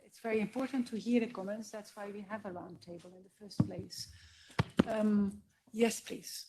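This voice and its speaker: A woman's voice: medium pitch, fairly even intonation, normal speed, mellow, slight background noise, poor audio. A woman moderating a formal roundtable discussion and inviting comments from the floor.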